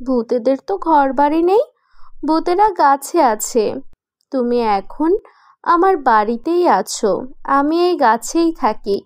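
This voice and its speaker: A woman's voice: high-pitched, lively intonation, unhurried. A woman voicing a teasing, bossy but kindly young female ghost in a horror cartoon, given to mocking cackles. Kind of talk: animated horror cartoon voice acting.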